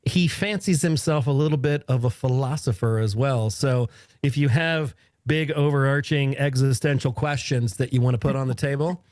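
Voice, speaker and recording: A man's voice: medium pitch, normal speed, darkish tone, dry recording, clean studio sound. A man co-hosting a casual podcast, with a jokey, playful manner of banter. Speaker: podcast co-host. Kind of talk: casual chat.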